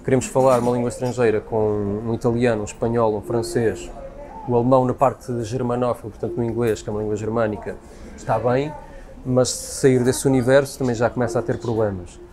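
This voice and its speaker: A man in his forties with a European Portuguese accent talks fast and reflectively in a casual interview, often restarting his phrases as he thinks aloud. Café chatter murmurs clearly behind him, and the recording is close and conversational. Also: mid-range voice, fairly even intonation. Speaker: interview guest. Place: busy café indoors. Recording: café crowd noise behind. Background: café crowd chatter.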